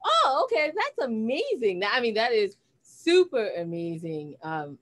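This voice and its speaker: A woman's voice: a little high, sing-song, a little slow, fairly bright tone. A woman co-hosting a show in casual chat, responding and asking questions. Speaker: podcast co-host.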